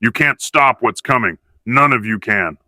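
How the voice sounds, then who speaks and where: A man voices a sinister wrestling overlord with a very sharp, forceful edge. He speaks in grand, ominous threats in a scripted game promo. The sound is dry and close. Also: medium pitch, animated, measured pace, no room sound. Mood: menacing. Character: menacing dark wrestling villain, leader of a dark ministry.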